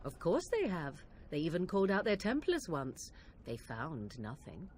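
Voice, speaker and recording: A woman's voice: low voice, wildly swooping pitch, moderate pace, darkish tone, slight background noise. A woman playing an aloof, sardonic young witch in a video game, with archaic, haughty diction. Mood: dry, pointed.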